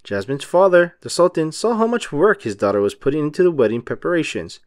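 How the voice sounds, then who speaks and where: A man reading a children's storybook aloud in an expressive, emphatic storytelling manner. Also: on the high side, a little fast, no room sound, studio quality. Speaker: children's book read-aloud narrator. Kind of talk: expressive scripted storybook narration.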